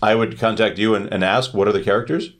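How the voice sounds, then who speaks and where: A man's voice: lowish voice, steady tone, fast talker. A man co-hosting a podcast, chatting casually and putting questions in a joking, teasing way. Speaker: podcast co-host. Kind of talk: casual chat.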